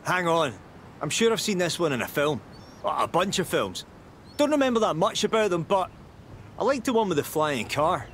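An actor playing an easygoing, wry festival organiser, casually narrating in a video game, chatty and self-deprecating. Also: male voice, high voice, big pitch swings, normal speed, a bit bright, a little hiss or hum. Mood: musing. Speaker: video game character guide narrating landmarks.

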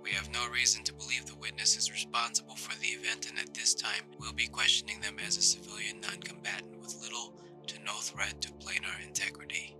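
An actor playing a grumpy but caring military intelligence interrogator in an ASMR audio roleplay, speaking in measured, halting phrases. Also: male voice, lowish voice, big pitch swings, music in the background. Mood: formal, clipped. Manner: measured, halting, deliberate delivery.

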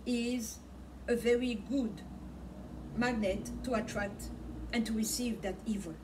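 A woman's voice: medium pitch, a little mellow. Impassioned woman preaching from across a room. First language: Swahili. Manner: impassioned, repeats words for emphasis, gestures a lot. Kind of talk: preaching monologue to camera. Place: small home study with bookshelves, indoors. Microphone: camera mic from across the room. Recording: distant mic with faint room noise.

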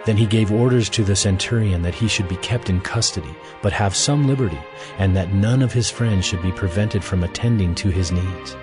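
A man's voice: fairly low pitch, fairly quick, hardly any pauses, over music. A man narrating dramatized scripture as a scripted reading.

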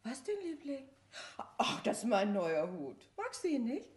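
Radio mystery: gracious, chatty wife, higher register, expressive swoops. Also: female voice, a little slow. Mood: cheerful. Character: detective's lively, sociable, affectionate wife. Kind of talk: German radio crime drama acting.

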